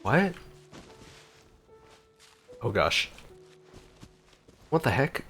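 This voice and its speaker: A man's voice: mid-range voice, sing-song, very slow, fairly bright tone, soft background music. A man giving casual, exclamatory gameplay commentary, informally thinking aloud as he plays. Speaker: gaming video creator.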